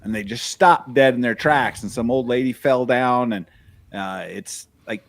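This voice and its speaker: A man's voice: medium pitch, unhurried. A man co-hosting a casual podcast, making joking, sarcastic quips. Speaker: podcast co-host.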